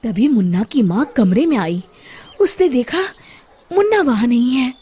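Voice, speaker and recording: A woman narrating a scripted children's story in a dramatic, expressive way. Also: fairly high pitch, measured pace.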